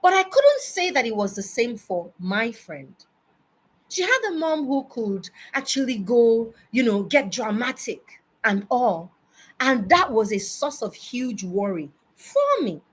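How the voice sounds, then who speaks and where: A Nigerian-accented woman in her early forties giving a personal advice monologue, emphatic and deliberate, repeating words for stress. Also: a little high, big pitch swings, breathy edge. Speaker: speaker addressing parents.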